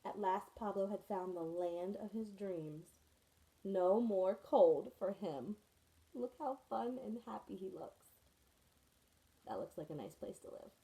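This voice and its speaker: Mellow-voiced woman reading a picture book. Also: mid-range voice, unhurried, rough recording. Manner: warm, expressive storytelling with friendly asides. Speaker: teacher reading to her students. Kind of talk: children's picture book read-aloud.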